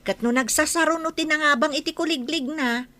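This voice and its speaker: A woman's voice: high-pitched, a little fast, bright, forceful tone. An actress playing a chirpy young village woman in a radio drama. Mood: cheerful. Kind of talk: radio drama acting in Ilocano.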